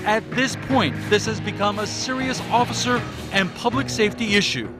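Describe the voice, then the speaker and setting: A man's voice: sits high, moderate pace, over music. An American man working as a television reporter, reading scripted investigative news narration.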